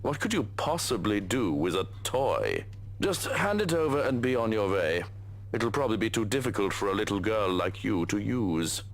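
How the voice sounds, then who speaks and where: An actor playing a menacing, condescending butler villain in a video game, with a mocking manner. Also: male voice, fairly low pitch, very animated, normal speed, slight background noise. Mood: condescending menace. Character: menacing condescending male butler villain strangling his victim.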